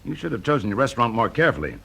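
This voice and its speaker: An actor with a British accent playing a confident, shrewd fire investigator in a radio drama, brisk, urbane and teasing. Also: male voice, mid-range voice, very animated, creaky, a bit bright, breathy edge, exaggerated voice. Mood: shrewd, accusing. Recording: noisy classic radio drama recording.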